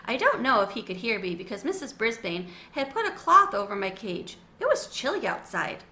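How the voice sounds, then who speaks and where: Bright, animated woman reading aloud. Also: fairly high pitch, moderate pace. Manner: expressive, animated storytelling. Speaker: storybook reader. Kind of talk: children's read-aloud narration.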